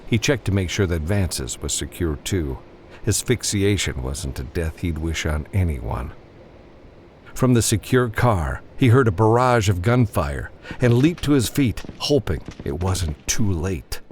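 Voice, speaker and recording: A man's voice: low voice, sing-song, measured pace, a little mellow, slight background noise. A man reading fiction aloud as an audiobook narrator, in a dramatic scripted style.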